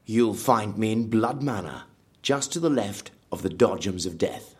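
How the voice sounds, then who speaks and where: An actor playing an eccentric theme park designer in an audio drama, intense and morbidly enthusiastic, speaking in terse bursts. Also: male voice, fairly low pitch, animated, measured pace, darkish tone, slightly rough, full character voice. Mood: contented.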